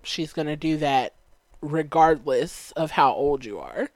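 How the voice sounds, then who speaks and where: A woman's voice: low voice, animated, a little slow, a little mellow. A young woman from Dallas co-hosting a podcast, chatting casually with a light, valley-girl sounding voice and lots of "like" fillers. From Dallas, Texas. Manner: chatty, many "like" fillers, laughs easily. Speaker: podcast co-host. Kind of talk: casual chat.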